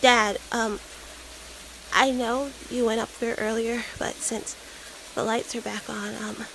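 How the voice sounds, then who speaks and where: A woman's voice: on the high side, flat delivery, vocal fry, noisy background. A woman voicing a young boy who hesitantly asks his father for help in a horror audio drama. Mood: pleading. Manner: hesitant, halting. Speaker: voice actor.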